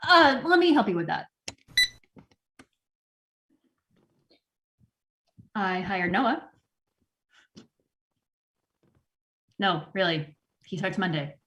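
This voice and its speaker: A woman acting a disorganized, sheepish store manager in a comedy table read, halting and awkward as she rambles. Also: medium pitch, sing-song, fairly bright tone, slight background noise. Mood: flustered, conceding.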